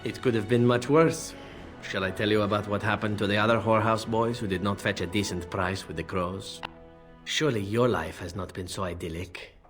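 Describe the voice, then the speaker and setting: Fantasy game rogue played by a man: elven assassin, lowish voice, flippant charm, wry nonchalance. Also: steady tone, a little fast, a bit bright, soft background music, natural voice. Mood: wry, nonchalant. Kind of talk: dramatic game dialogue.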